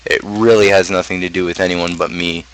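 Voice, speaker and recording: Low-pitched man, halting and rambling. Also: flat delivery, rough recording. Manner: halting, rambling, thinking aloud. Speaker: streamer host and amateur rapper. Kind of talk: casual talk explaining own song lyrics.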